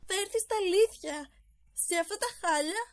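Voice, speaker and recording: A woman voicing a spoiled, snobbish young rich girl in an amateur anime dub, haughty and bossy. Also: very high-pitched. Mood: haughty, scornful.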